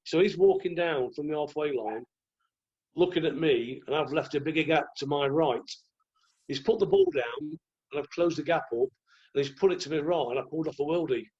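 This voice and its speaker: A croaky-voiced man, telling rambling stories as a podcast guest. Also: a little high, expressive, normal speed, darkish tone, muffled recording. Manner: rambling, restarts often. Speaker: guest, former goalkeeper. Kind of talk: relaxed anecdotal chat.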